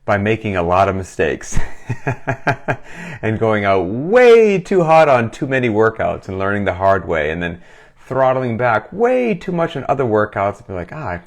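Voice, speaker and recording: Deep-voiced man coaching through a cold. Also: very animated, unhurried. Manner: enthusiastic, conversational, reads questions aloud. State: getting over a cold, sucking a cough drop. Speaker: gym owner and coach hosting a Q&A. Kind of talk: casual live Q&A answering questions. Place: recorded for a live stream.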